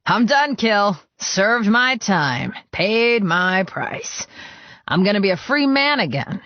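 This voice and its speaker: A man's voice: high voice, sing-song, slow pace, no echo, highs cut off, voice pushed up, full character voice. A man voicing a jovial, sprightly older male convict with an upbeat, chatty manner. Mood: elated.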